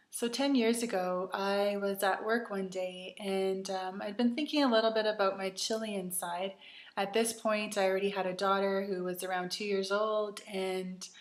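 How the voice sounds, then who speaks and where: A woman's voice: medium pitch, reverberant. A woman in her thirties from Canada, chatty and earnest, with many ums, telling her personal story to camera as host of a retreat.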